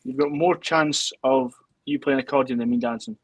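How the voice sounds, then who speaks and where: A Scottish man with a slightly rough, husky voice of average pitch, heard in a dry recording. He chats informally as a co-host, feeling his way through explanations. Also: moderate pace, no echo. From Dundee, Scotland. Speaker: co-host and tune composer. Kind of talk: casual live chat.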